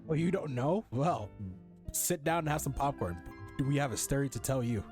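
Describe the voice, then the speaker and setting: A man's voice: mid-range voice, expressive, moderate pace, a little mellow, faint music behind. Sarcastic young guy commenting on a game. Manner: sarcastic, slangy, irreverent. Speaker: gaming streamer reacting to a game. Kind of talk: casual let's-play commentary.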